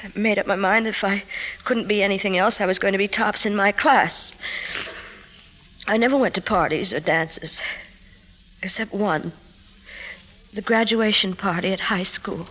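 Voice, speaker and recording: An actress playing a troubled woman confessing painful memories in an emotive, halting way, in 1950s radio drama style. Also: medium pitch, steady tone, fairly bright tone, lightly acted, low-quality recording. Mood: hurt, remembering. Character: troubled career woman confiding painful childhood memories to her doctor. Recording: 1950s radio broadcast, music behind.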